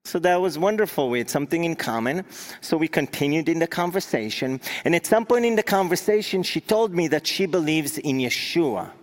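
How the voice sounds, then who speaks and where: Israeli accented guy giving a sermon, kinda high voice, earnest, repeats stuff for emphasis. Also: animated, fairly quick. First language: Hebrew. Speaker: guest preacher.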